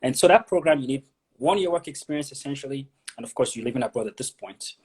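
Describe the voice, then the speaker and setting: A man's voice: medium pitch, lively intonation, fast talker, slightly rough. A man from Nigeria, an immigration consultant, explaining pathways as an interview guest, often repeating words as he thinks.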